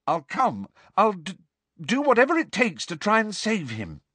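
A man's voice: a little high, very animated, moderate pace, slightly rough, no room sound, voice pushed up. A man voicing an anxious, insistent, clever teenage girl in an audiobook, pleading and precise. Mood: desperate, pleading. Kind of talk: audiobook character voice.

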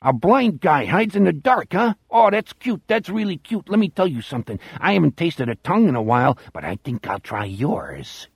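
A man's voice: fairly high pitch, sing-song, moderate pace, gravelly, few pauses, breathy edge, dry recording, studio quality, voice pushed up, full character voice. A man reading an audiobook voices a deranged old male cannibal killer with a Chicago voice, menacing and taunting.

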